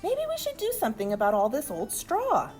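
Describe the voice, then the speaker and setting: A woman's voice: high voice, wildly swooping pitch, brisk, darkish tone, soft background music, pitched above the performer's own voice. A woman voicing a proud, insistent father pig in a storybook, hearty and emphatic. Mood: agreeable.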